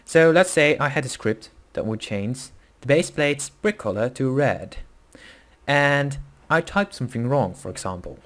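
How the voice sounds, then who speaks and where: A man's voice: medium pitch, expressive, measured pace, fairly bright tone. Dutch-accented man explaining casually. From Netherlands. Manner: casual, hesitant, many fillers and self-corrections. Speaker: tutorial host. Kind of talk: screen-recorded coding tutorial.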